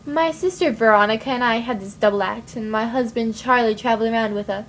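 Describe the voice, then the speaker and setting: A woman playing a stage performer who killed her sister and husband, telling it in a brisk, showy way. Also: on the high side, slight background noise, close to an everyday voice.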